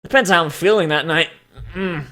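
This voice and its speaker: A man hosting casual, mocking commentary and dramatic readings, crude, exclamatory and quick to swear. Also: fairly high pitch, sharp tone. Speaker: host reading fan characters aloud.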